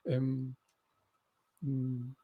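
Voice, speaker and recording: A man's voice: medium pitch, completely flat delivery, extremely slow, breathy edge, no room sound, narrow, tinny audio. A man giving a spiritual lecture, reading scripture and explaining it in a reflective way. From Honduras. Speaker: Hare Krishna missionary teacher.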